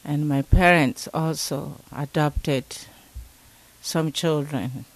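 An older woman from Ghana, an interview guest recalling her childhood slowly and thoughtfully, with many pauses. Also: low-pitched, fairly even intonation, no room sound, poor audio.

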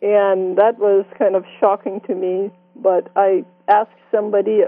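A woman in her sixties from Iran with a Persian accent, telling her life story in an interview with reflective, gently amused warmth. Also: medium pitch, level tone, unhurried, no room sound. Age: 60s. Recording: dry tinny phone-like sound.

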